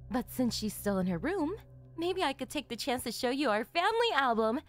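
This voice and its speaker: Woman acting a high-voiced, gushing obsessive anime mom. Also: sing-song, brisk, faint music behind. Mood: fond, excited. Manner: chatty, effusive, sugary. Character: gushing, doting, obsessive yandere mother. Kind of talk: ASMR roleplay.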